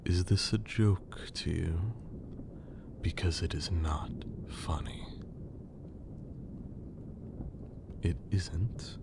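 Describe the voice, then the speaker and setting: A man playing a haughty, menacing vampire lord in an ASMR roleplay, with condescending, theatrical speech and a breathy, creaky voice. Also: low-pitched, exaggerated voice. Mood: indignant, scornful. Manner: slow, condescending, theatrical, archaic wording.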